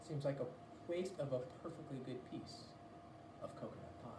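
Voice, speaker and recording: A woman's voice: extremely low pitch, normal speed. A woman reading a children's story aloud in a playful, theatrical way, with asides to the listener. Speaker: read-aloud storybook reader. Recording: roomy, distant mic, faint noise.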